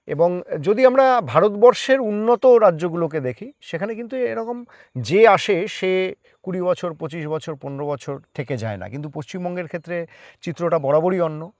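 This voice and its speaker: A man's voice: high voice, lively intonation, moderate pace, darkish tone, no echo, clean studio sound. A man answering conversationally as an interview guest, explaining things and mixing in English words. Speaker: guest, part-time journalist.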